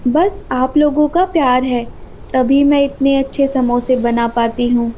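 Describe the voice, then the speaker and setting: A woman's voice: high-pitched, fairly even intonation, measured pace, warm tone, a little hiss or hum, rough recording. A woman voicing a timid, humble female bird street seller in a children's cartoon, earnest and meek. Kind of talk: Hindi children's cartoon voice acting.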